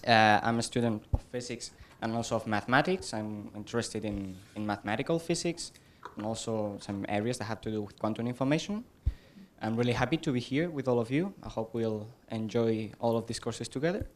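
A man's voice: mid-range voice, fairly quick. Friendly man. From Spain. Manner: friendly, a bit nervous, laughing. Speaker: student.

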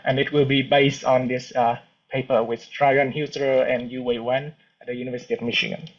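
A man, giving an academic seminar talk in a halting, relaxed way with frequent restarts. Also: mid-range voice. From Vietnam. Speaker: cosmology researcher giving a seminar. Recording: dull lo-fi recording.

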